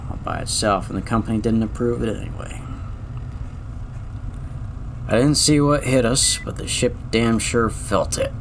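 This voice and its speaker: A man's voice: on the low side, background noise, lightly acted. A man voicing a weary, sardonic lone male space garbage man who narrates his own story with dry, cursing wit in an audio drama. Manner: wry, dry, muttering curses.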